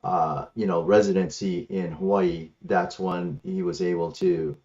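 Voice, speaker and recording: A man's voice: deep voice, little pitch movement, measured pace, mellow. A man from the Philippines, a native Ilocano speaker, answering casually as an interview guest over a headset. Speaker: guest, martial arts instructor. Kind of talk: casual interview answers, recounting his life. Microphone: headset on an online call. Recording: slightly muffled lo-fi headset call.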